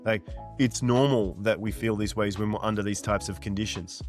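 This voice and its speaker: A man's voice: lowish voice, fairly even intonation, moderate pace, soft background music. A man in his forties talking earnestly and directly to the listener as a mindset coach, pressing points through repetition. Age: 40s. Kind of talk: motivational monologue.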